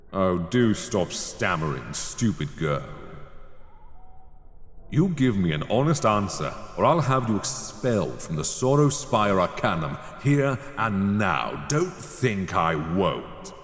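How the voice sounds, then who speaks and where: A man playing a middle-aged, menacing headmaster of a magic school in a dark fantasy audio roleplay, haughty, mocking and theatrically polite. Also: low-pitched, heavily acted. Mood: stern, threatening. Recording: echoey hall with long reverb.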